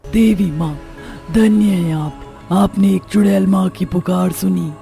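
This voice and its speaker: Witch mother, female actor, cartoon horror: slow, very mellow low-lit tone, plaintive and devoted. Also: steady tone, gravelly, faint music behind. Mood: grateful. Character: sorrowful, devoted dead mother turned witch. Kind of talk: Hindi animated horror moral story acting.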